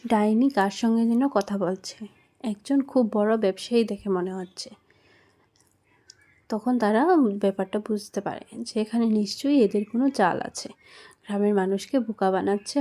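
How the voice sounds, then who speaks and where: A woman's voice: medium pitch, mellow. Female storyteller, mid-range voice, scripted narration for a children's spooky cartoon tale. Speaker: storyteller and channel host.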